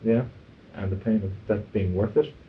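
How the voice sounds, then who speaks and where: A man's voice: on the low side, fairly even intonation, moderate pace, narrow, tinny audio. A man interviewing a musician conversationally, probing and rephrasing his questions as he goes, with some background noise.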